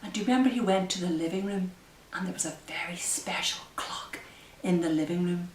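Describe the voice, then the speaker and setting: A woman hosting story time for small children as a librarian, speaking gently, asking them questions and leading into simple rhymes. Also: lowish voice, a little hiss or hum. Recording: roomy echo, distant microphone.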